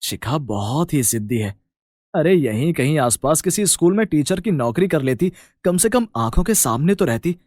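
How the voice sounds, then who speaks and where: A man's voice: on the high side, lively intonation, moderate pace, a little mellow, no room sound, studio quality. A man playing a humble village farmer and caring elder brother, earnest, fretful and affectionate, in a storybook tale. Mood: worried.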